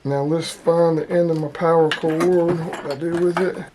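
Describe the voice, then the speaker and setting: A man's voice: fairly high pitch, fairly even intonation, normal speed, darkish tone, slight background noise, poor audio. A man giving casual, hands-on unboxing commentary, informally thinking aloud as he handles the gear. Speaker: vlogger unboxing radio gear.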